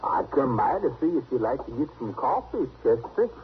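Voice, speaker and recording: A man playing a simple, earnest, stubborn backwoodsman with a rural American accent and halting, folksy speech in an old-time radio western. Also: medium pitch, big pitch swings, close to an everyday voice. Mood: plain, friendly. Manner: halting, plain-spoken, folksy. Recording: tinny, hissy old radio recording.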